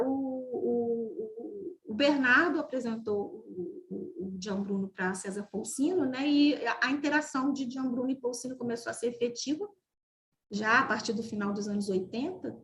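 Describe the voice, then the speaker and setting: A woman's voice: on the high side, rough recording. A woman from Brazil giving an online mathematics lecture over a video call, talking with many fillers, repeated words and restarts. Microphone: video call microphone.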